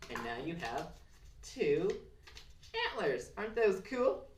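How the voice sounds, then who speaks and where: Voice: medium pitch, very animated, slow pace, darkish tone. A craft presenter giving simple, encouraging step-by-step instructions to children. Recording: roomy, distant mic, faint noise and clicks.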